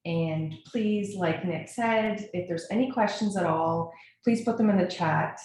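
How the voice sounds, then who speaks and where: Echoey, lower-pitched Canadian woman presenting. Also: measured pace, darkish tone. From Nova Scotia, Canada. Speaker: webinar presenter, brand strategist. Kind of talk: informal webinar presentation. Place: indoor echoey room, online webinar. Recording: very echoey room, faint noise.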